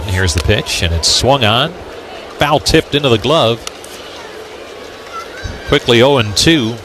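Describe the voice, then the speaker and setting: An American man calling a baseball game as a radio play-by-play announcer. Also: medium pitch. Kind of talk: live radio sports commentary. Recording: clear background noise from outdoor ballpark.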